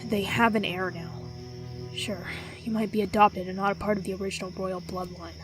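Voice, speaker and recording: A woman voicing a reluctant, sincere young character in an animated drama. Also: mid-range voice, fairly quick, music in the background, natural voice. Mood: resentful, reluctant.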